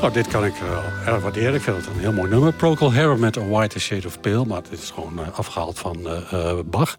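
A man with a Dutch accent presenting a music podcast, informal and hesitant, with many pauses. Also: fairly low pitch. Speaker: podcast host. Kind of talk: conversational music podcast narration.

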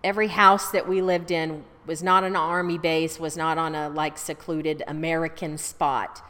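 A middle-aged woman speaks low and unhurried as an interview guest, recounting her family's past. Her easygoing telling wanders through fillers and restarts. The room leaves a long reverb on her voice. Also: level tone, a bit bright. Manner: chatty, easygoing storyteller with fillers. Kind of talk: casual life-story interview.

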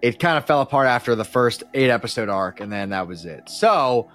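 A man chatting casually as a podcast guest, talking in a rambling, halting way with frequent restarts. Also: mid-range voice, lively intonation, fairly bright tone, faint music behind. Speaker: podcast guest, audio drama creator and musician.

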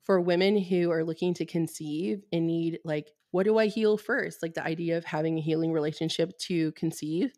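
A woman's voice: fairly low pitch, moderate pace, few pauses, a little mellow, dry recording, studio quality. A woman who works as a Reiki fertility healer, a guest on a podcast interview. She explains her work steadily and bluntly, with frequent fillers.

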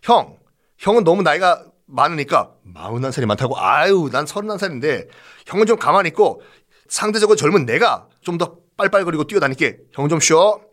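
A man's voice: fairly high pitch, animated, fairly quick, fairly bright tone. Man as eager young Roman co-emperor brother. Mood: eager reassurance.

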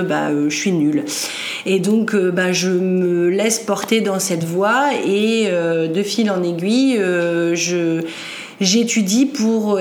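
A woman's voice: fairly low pitch, creaky, echoey room, slight background noise. A woman in her forties from northern France, telling her life story as a podcast guest, hesitant with many fillers and restarts. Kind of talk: personal story in an interview.

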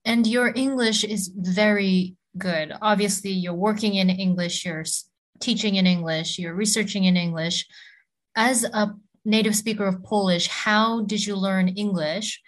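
A woman podcast host asks her questions at a slow, deliberate pace, with a mid-range pitch. She encourages her guest with short murmurs of agreement. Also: steady tone. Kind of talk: English-learning podcast interview.

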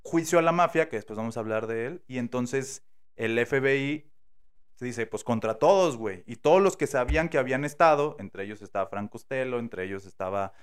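A man with a Mexican accent, casually telling a true crime story on a podcast, slangy and animated, acting out the quotes. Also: medium pitch, brisk, clear, resonant tone. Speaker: podcast host.

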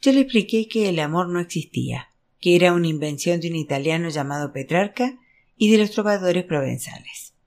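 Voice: medium pitch, expressive, brisk. Audiobook narrator reading a novel. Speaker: audiobook reader. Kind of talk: scripted literary narration, reading a novel aloud.

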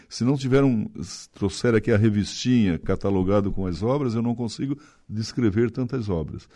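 A man's voice: mid-range voice, mellow. A middle-aged man from Santa Catarina with a slight gaúcho accent, speaking at length and earnestly as a city official in an interview, often ending with tag questions. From Santa Catarina, Brazil. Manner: long-winded, earnest, frequent tag questions. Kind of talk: conversational interview.

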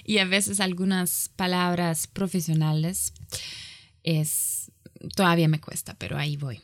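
A woman lecturing in a language that is not her first, halting and often correcting herself mid-sentence. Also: on the low side, fairly even intonation, a bit bright, no echo, clean studio sound. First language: not Spanish. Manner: halting, self-correcting, with frequent pauses. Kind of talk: online lecture.

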